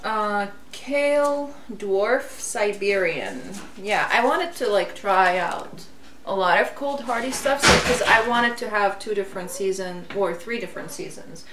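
Slow-talking woman in a roomy kitchen. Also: mid-range voice, animated. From Lebanon. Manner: giggly, chatty, many fillers. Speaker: gardening channel host. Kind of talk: casual chat, seed haul. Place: kitchen, seated at table. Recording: roomy, distant mic, faint hiss.

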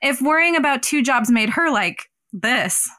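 A woman's voice: high voice, animated, unhurried, pushed and bright, no room sound, clean studio sound, heavily acted. A woman playing an affectionate, teasing girlfriend in an audio drama. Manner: playful, affectionate. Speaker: producer's girlfriend. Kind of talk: semi-scripted audio drama.

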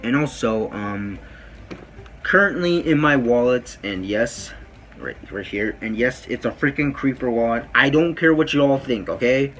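A teenage boy with a deep voice, rambling casually to his phone camera in a small bedroom, with lots of fillers. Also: expressive, a little slow, fairly bright tone, soft background music. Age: teens. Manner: rambling, many fillers and "freaking". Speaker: vlogger. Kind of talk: casual vlog talk to camera. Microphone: phone camera at close range.

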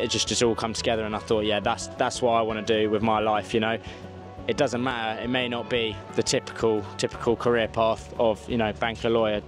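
Flat-toned man over music. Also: medium pitch. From London. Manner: earnest, repeats "you know". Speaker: fitness coach, interviewee. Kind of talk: casual interview. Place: indoor beach café. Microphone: handheld mic. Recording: music playing behind.

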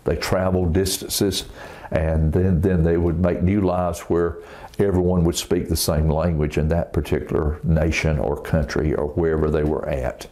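An older man, a preacher, reading a children's Bible story aloud in an expressive, emphatic way with informal asides. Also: low-pitched, normal speed.

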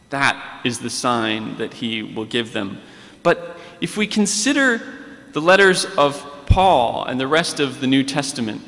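A man in his thirties preaching a sermon at a pulpit microphone in a large church sanctuary, earnest and deliberate, reading scripture aloud. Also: on the high side, sharp tone. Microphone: pulpit microphone on a stand. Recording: long church reverb.

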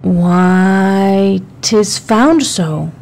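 A woman's voice: medium pitch, drawn-out words, creaky, darkish tone. Woman playing a simple, questioning rustic clown. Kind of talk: dramatic audiobook reading of Shakespeare.

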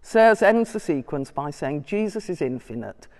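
An older woman giving a scholarly talk from her notes, measured, with small hesitations and asides. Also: deep voice, big pitch swings, darkish tone. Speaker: lecturer. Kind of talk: public talk reading from notes. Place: large church interior. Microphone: distant room mic. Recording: long church reverb.

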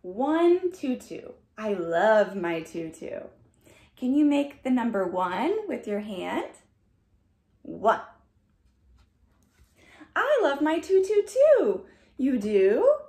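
A young woman reads aloud for small children in a sing-song manner. Her pitch swings widely and she takes her time. The room adds noticeable echo around her voice. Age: young adult. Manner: animated, slow, sing-song, addressing children. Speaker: children's dance teacher reading picture books. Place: small furnished room indoors. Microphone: camera a short distance away.

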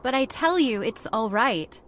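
Woman acting a high-voiced, dramatic heiress. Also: animated, unhurried, slight background noise. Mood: coaxing, pleading. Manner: pleading, emphatic, theatrical. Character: headstrong young factory heiress, earnest and commanding. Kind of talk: old-time radio horror drama acting.

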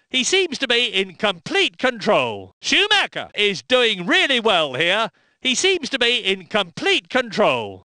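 An older British man commenting on motor racing, excitable and emphatic, speaking into a handheld lip mic for recorded game clips. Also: sits high, dry recording, studio quality. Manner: excitable, emphatic, rising to bursts of alarm.

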